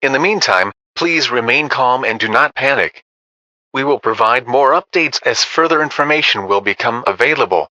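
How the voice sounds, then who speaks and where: Bright, synthetic male news anchor, dry sound. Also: mid-range voice, animated, moderate pace, dry recording. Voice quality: synthetic text-to-speech voice. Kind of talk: scripted news report.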